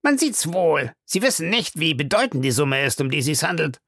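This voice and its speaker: A reader voicing a scheming male police detective who speaks in a low, confiding, persuasive way. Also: sing-song, moderate pace, a bit bright, dry recording, clean studio sound.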